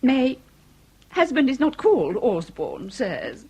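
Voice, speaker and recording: Anxious posh victorian english lady, high swoopy voice, super breathy, over-polite, radio comedy. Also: sing-song, dark tone, exaggerated voice. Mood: anxious, ashamed. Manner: fluttering, over-polite, halting, melodramatic. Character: anxious, genteel Victorian common-law wife. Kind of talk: period comedy radio drama acting.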